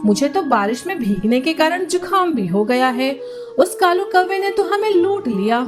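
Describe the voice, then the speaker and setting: A vain, fussy bird lady from a kids' cartoon, played by a woman. The voice is extremely high and carries a complaining manner. Music runs underneath. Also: very animated, normal speed. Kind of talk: Hindi children's cartoon dubbing.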